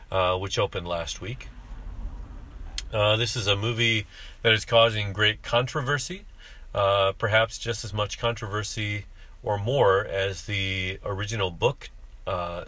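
A man hosting a podcast, talking off the cuff while driving in a car, congested from allergies, halting with many fillers and restarts. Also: deep voice, bright, forceful tone. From living in Japan. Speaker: podcast host.

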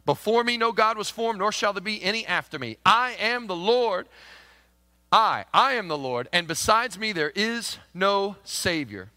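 A Kentucky pastor preaching in a big church hall, his voice bright and a little higher than most men's. He rises to loud, emphatic proclamation, then drops into soft, chatty asides. A long reverb trails every phrase. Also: expressive, measured pace. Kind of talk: sermon.

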